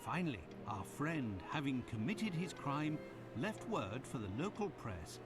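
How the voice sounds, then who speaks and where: An actor playing a fussy, talkative doctor companion in a video game. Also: male voice, mid-range voice. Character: chatty loyal male doctor companion. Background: game music.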